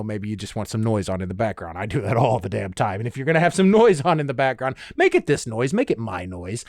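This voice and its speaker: A man's voice: mid-range voice, darkish tone, no room sound, studio quality. A man hosting a solo podcast in a casual, rambling, self-deprecating way with informal language. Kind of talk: casual rambling monologue, conversational.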